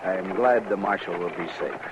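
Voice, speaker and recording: An actor playing a dignified, stoic man in a 1950s radio western, speaking in solemn, formal, measured phrases. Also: low-pitched, lightly acted. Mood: calm, stoic. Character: dignified, stoic Pawnee man longing for his northern homeland. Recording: dull, noisy old radio recording.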